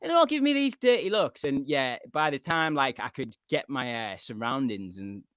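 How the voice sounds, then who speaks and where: A man's voice: medium pitch, moderate pace, no echo. Chatty man, dry close sound. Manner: chatty, filler-heavy, animated storytelling. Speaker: podcast host. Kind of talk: casual chat on a live stream.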